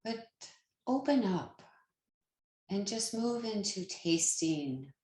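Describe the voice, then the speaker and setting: A woman leading a guided meditation as a teacher, speaking in a calm, gentle manner with long pauses, as if easing listeners into rest. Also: medium pitch, reverberant.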